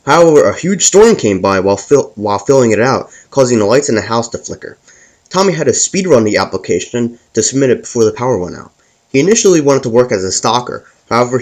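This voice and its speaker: A man narrates a scripted comic story as its host. His mid-pitched voice swings up and down in an animated way, and he trips over and repeats words as he reads. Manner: stumbles and repeats words while reading.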